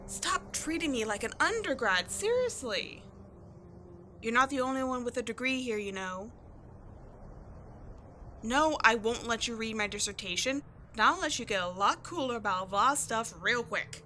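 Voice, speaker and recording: A woman playing a cocky, sarcastic tomboy adventurer in an audio roleplay, slangy and expressive in her exasperation. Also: a little high, normal speed, slight background noise. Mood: defensive, cocky. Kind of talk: scripted ASMR-style audio roleplay, one-sided dialogue.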